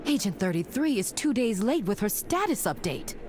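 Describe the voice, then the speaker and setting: A woman's voice: mid-range voice, big pitch swings, moderate pace, noisy background, close to an everyday voice. A woman voicing a stern, protective agent and instructor in a video game, dry, sardonic and authoritative. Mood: grave. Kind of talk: animated video game acting.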